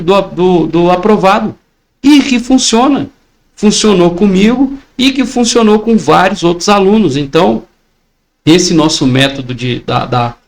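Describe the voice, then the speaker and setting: A Brazilian man in his forties with a Brazilian Portuguese accent, teaching an online exam-prep lesson in a persuasive way, asking and answering his own questions. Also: high-pitched.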